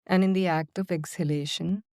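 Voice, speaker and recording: A woman guiding a body-scan and breathing meditation in slow, calm, soothing instructions with long pauses. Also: on the low side, no room sound, studio quality. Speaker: meditation guide. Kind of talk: guided meditation.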